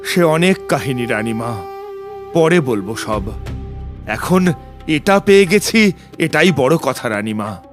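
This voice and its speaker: A man playing a resolute, earnest young hero in an animated horror fairy tale, solemn and respectful. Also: fairly high pitch, takes it slowly, long pauses, faint music behind.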